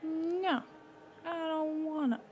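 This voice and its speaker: A woman streamer giving casual, expressive reaction commentary on a video game, sometimes in hushed asides. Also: high voice, takes it slowly, dull audio, faint music behind. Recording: clearly noisy, game sound behind.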